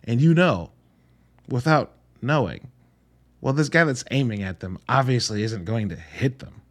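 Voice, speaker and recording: A man's voice: mid-range voice, animated, measured pace. A man hosting a podcast, giving a casual, conversational solo film review in a blunt, casually profane way with rhetorical asides. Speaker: podcast host reviewing films.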